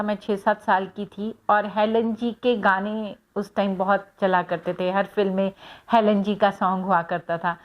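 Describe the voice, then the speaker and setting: A middle-aged woman from Punjab, an actress answering fan questions in a casual, reflective way with many pauses and fillers. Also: mid-range voice. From Hoshiarpur, Punjab, India. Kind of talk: casual personal talk to camera.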